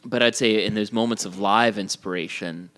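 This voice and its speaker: A man's voice: fairly low pitch, fairly even intonation, moderate pace, a bit bright. A man speaking as a band musician and songwriter in a casual podcast chat, earnest and prone to casual swearing.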